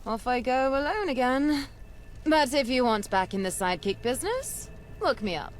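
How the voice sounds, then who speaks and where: An actress playing a plucky, adventurous treasure-hunting game companion, jaunty and bossy in a theatrical way. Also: female voice, sits high, expressive, normal speed, a little hiss or hum. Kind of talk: video game companion dialogue.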